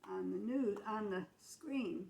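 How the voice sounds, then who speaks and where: A woman's voice: medium pitch, takes it slowly, gravelly, darkish tone, a little hiss or hum, poor audio. An American woman in her eighties telling childhood memories to family in a casual, explanatory, matter-of-fact way. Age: 80s. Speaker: grandmother recalling her childhood.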